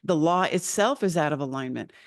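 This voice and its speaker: A woman's voice: low voice, lively intonation, fairly quick, no room sound. A woman channeling spiritual teachings as a guest, speaking earnestly and insistently as a collective "we" over a video call.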